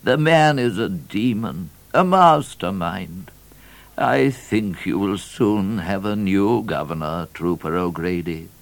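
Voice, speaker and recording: A man's voice: sits low, expressive, slow, creaky, heavily acted. Man doing a pompous, fretful colonial governor. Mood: gloomy despair.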